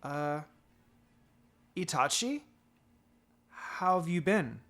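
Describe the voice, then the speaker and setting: A man's voice: a little high, lively intonation, very slow, a bit bright, exaggerated voice. A man voicing a polite, hesitant, caring young ninja girl in a fan fiction reading. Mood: shy, curious.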